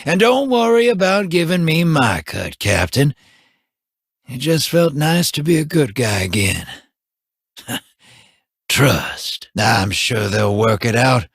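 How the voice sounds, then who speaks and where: A cocky young scout whose husky voice swings lively between high and low. He talks casually and jokes at his own expense. The recording is close and dry. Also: slow, dry recording, full character voice. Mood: warm. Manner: casual, joking, wry. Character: wry young human scout, easygoing and self-mocking.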